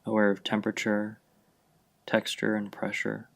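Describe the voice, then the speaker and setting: A man leading a guided meditation, giving gentle, unhurried instructions with long pauses between phrases. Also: lowish voice, completely flat delivery, vocal fry. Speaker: meditation guide, mindfulness fellow. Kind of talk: guided meditation, slow and spacious.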